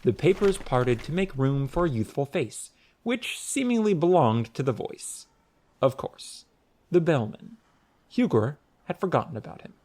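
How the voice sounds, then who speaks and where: A man narrating a scripted story audiobook in a wry, expressive storytelling manner. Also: fairly high pitch, a little slow.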